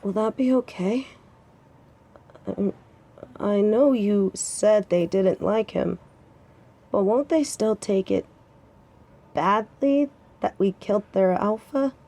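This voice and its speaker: A woman's voice: medium pitch, takes it slowly, pauses often, mellow. Woman acting a wry, protective young lover. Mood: worried. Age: young adult. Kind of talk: intimate audio drama acting.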